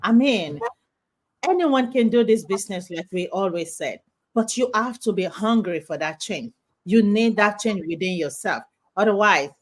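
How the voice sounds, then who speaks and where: A woman hosting an online interview show, effusive and full of praise on a video call. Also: medium pitch. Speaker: show host.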